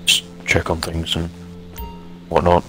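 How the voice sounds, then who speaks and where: A gruff male camp boss in a zombie game skit, acted by a man, very low in pitch with a flat, hard-edged, forceful tone. He is guarded and blunt, and faint music runs underneath. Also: measured pace. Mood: guarded, probing. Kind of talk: improvised roleplay acting in a video game skit.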